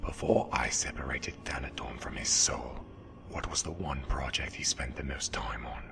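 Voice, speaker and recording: A man playing a menacing, sardonic Sith lord in a sci-fi audio drama, with a deep whispery rasp. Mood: cold, impatient. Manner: slow-burning threats, sardonic. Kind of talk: fan audio drama acting.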